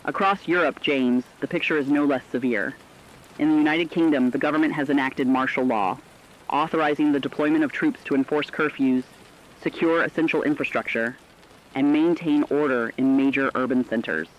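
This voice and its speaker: Through dull, lo-fi radio sound comes a man acting a serious male news correspondent. He reads scripted dystopian crisis reports at a medium pitch, formal and measured throughout. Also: lightly acted.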